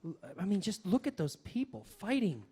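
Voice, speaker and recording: A man hosting a radio variety show with semi-scripted comedy, speaking haltingly and often repeating his words. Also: sits high, very animated, mellow, breathy edge.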